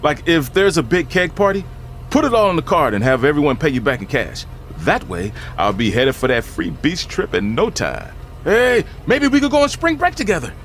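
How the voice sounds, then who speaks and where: An actor playing a scheming, jokey middle-aged dad in a video game cutscene. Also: male voice, on the high side, very animated, normal speed, fairly bright tone, a little hiss or hum. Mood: cheerfully scheming.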